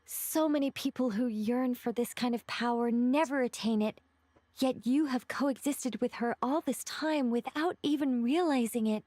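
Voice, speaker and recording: Game role: actress as poised, enigmatic woman, higher register, deliberate and courteous, close dry studio sound. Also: darkish tone, no echo, close to an everyday voice. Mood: musing. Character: calm, knowing, mysterious woman who reveals secrets. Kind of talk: video game voice acting, measured explanation.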